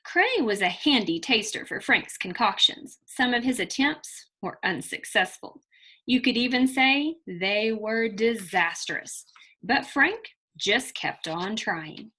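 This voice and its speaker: A woman with a bright, forward voice reads a children's story as a teacher would. Her delivery is expressive and emphatic, with key words set out deliberately for young listeners, and she speaks to them directly. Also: fairly high pitch, breathy edge, poor audio. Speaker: teacher reading a picture book to children.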